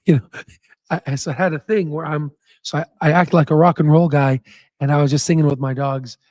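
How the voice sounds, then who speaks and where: A man who hosts a stock market channel, hoarse with his voice nearly gone, talking in a casual rambling way with many fillers and restarts. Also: on the high side, fairly even intonation, a little fast, darkish tone, no echo, studio quality. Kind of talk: casual chat and stock analysis.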